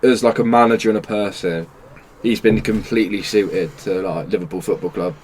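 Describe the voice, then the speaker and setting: A man's voice: fairly low pitch, steady tone, brisk, crowd noise. A man co-hosting a casual football podcast, building his argument step by step in a hesitant way. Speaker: podcast co-host.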